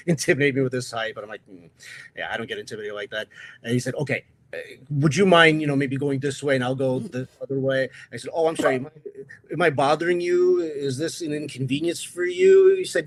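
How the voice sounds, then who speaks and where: Casting: man with a loud, projecting voice for a relaxed livestream guest, loose and rambling delivery. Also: medium pitch. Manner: loose storytelling with frequent uh fillers. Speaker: guest, atheist group president. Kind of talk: casual livestream chat.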